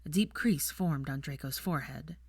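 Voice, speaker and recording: A woman's voice: sits low, normal speed, slightly rough, no room sound, studio quality. A woman reading fiction aloud as a scripted story narration.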